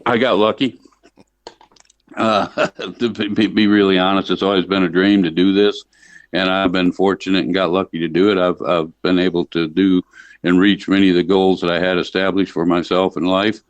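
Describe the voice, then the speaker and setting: A man's voice: sits low, level tone, moderate pace. An older American man from Michigan talking conversationally as an interview guest, plain-spoken and folksy, often repeating words as he goes. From Michigan, United States. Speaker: interview guest, livestock association chief executive. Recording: dull lo-fi line in a roomy space.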